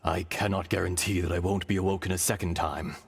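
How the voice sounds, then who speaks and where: An actor playing an ancient, weary dragon spirit in a video game, speaking gravely in formal, archaic phrasing. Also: male voice, low voice, steady tone, a little fast. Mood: solemn, reflective. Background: faint music.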